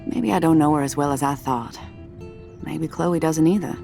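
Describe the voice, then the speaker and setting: Casting: plain-spoken middle-aged American mom, motherly and wry, lower register, game dialogue with music behind. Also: normal speed, gravelly, warm tone. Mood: wistful, hopeful. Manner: folksy, motherly, teasing. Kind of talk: video game acting, conversational dialogue. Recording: music under the voice. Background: background music.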